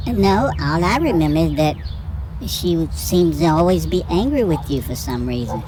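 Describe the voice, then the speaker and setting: A woman's voice: low-pitched, animated, moderate pace, noisy background. A woman playing an inquisitive nine-year-old boy, earnest and questioning, in an amateur audio drama. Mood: curious. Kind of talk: amateur Bible-themed audio drama acting.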